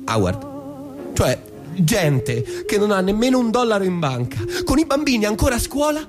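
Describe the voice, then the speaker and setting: An actor in a radio drama playing an excitable, gossiping suburban man, stammering and melodramatic in his indignation. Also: high-pitched, moderate pace, over music. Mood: agitated, shocked. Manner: stammering, melodramatic, repeating himself. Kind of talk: theatrical radio drama monologue.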